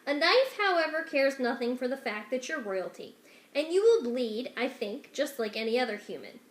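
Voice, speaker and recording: A woman's voice: high voice, big pitch swings, moderate pace. A woman voicing a menacing male villain rat in a storybook, falsely polite, formal, condescending and commanding. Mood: smug menace. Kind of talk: dramatic children's storybook reading. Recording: echoey room.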